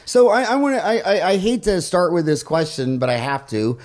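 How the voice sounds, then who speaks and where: A man hosting a conversational podcast interview at home, speaking haltingly, repeating phrases and telling anecdotes. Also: high-pitched, a little mellow. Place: dining room at home.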